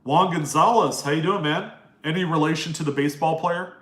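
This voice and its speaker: A man's voice: on the high side, fairly quick, fairly bright tone, roomy sound. A nasal-voiced young man from Maryland hosting a casual live Q&A, friendly and rambling as he reads out viewer names and answers their questions. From East Coast US, Maryland. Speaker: live stream host answering chat questions.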